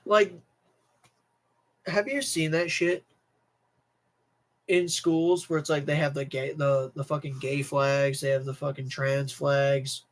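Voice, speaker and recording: A man's voice: fairly high pitch, fairly even intonation, takes it slowly, long pauses. A young American man ranting casually and emphatically as a livestream host, repeating himself and swearing. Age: young adult. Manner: emphatic, repetitive, profane. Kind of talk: casual livestream rant to chat.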